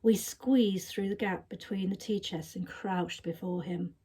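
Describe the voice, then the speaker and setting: A woman narrating a story expressively, with dramatic, measured phrasing that is often hushed. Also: mid-range voice, fairly even intonation, breathy edge. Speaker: story reader. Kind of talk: expressive audiobook-style narration.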